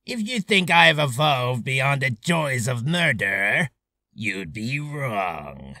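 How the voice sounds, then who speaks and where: A man's voice: medium pitch, big pitch swings, slow pace, sharp tone, dry recording, studio quality, full character voice. A man voicing a cruel mad-scientist villain, gloating with theatrical menace for a voice reel. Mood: gloating, menacing. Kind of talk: character voice reel.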